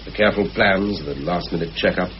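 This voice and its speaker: Frank, devoted, slightly defensive man played by an actor, lower voice, muffled vintage radio drama. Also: a little fast, lightly acted. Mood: reflective. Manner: frank, earnest, emphatic. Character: earnest, defensive bachelor devoted to a widow. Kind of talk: vintage radio play acting. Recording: dull, hissy old radio drama recording.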